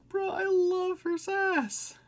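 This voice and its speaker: A man streaming a game and chatting casually in an informal, self-deprecating way. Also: extremely high pitch. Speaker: livestreamer. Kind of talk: casual gaming livestream, reading dialogue aloud.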